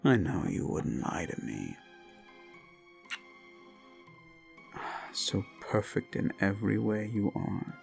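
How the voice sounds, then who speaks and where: A paranoid yandere prince, voiced by a man, in an audio drama, with a very deep, slow, gravelly delivery. He coaxes and threatens in the same quiet breath, slipping into whispers. Faint music plays behind him. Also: sing-song, exaggerated voice. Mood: coaxing. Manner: soft-spoken menace, sudden unsettling laughter, whispered asides. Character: unhinged paranoid possessive young prince, menacing.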